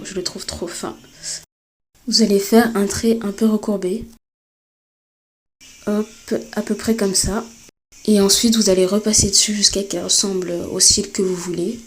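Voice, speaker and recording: A woman with a cold giving a step-by-step drawing tutorial, talking hesitantly with many filler pauses. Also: medium pitch. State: has a cold. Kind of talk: screen-recorded step-by-step tutorial.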